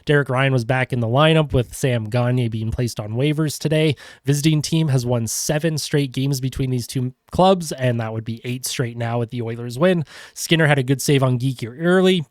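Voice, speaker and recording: A man with a congested cold, hosting a podcast and running quickly through a post-game hockey recap with frequent fillers. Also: mid-range voice, no room sound, studio quality. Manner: quick play-by-play rundown with fillers. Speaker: podcast host.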